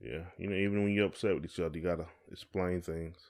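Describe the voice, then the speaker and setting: Low-voiced man, folksy podcast host. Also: steady tone, fast talker, darkish tone. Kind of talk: casual conversational podcast chat.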